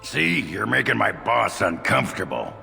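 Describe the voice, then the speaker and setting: A gruff, rowdy dwarf warrior from a fantasy game. The voice is heavily gravelled and swings up and down as it drawls slowly and crudely. It rings in a long reverb. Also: male voice, on the low side, big pitch swings, bright, forceful tone, faint music behind, full character voice. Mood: defensive. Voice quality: gravelly, growling. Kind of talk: video game voice acting.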